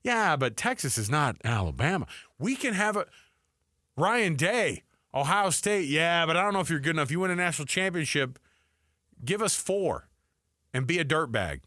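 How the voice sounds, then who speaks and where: A man's voice: on the high side, sing-song, normal speed, sharp tone, dry recording. Man chatting sports in a dry studio. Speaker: sports radio show co-host. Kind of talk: casual sports talk.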